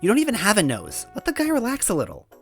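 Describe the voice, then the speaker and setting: A man's voice: sits high, wildly swooping pitch, fast talker, fairly bright tone, faint music behind, pitched above the performer's own voice, full character voice. A man voicing a fussy, scolding little female toad who is easily flustered, in a story reading. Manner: indignant, scolding.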